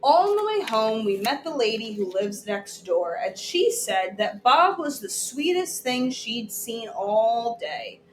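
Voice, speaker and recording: A woman teacher reading a children's story aloud, deliberately, pausing to put questions to her listeners. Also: on the high side, very animated. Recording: echoey room with background noise.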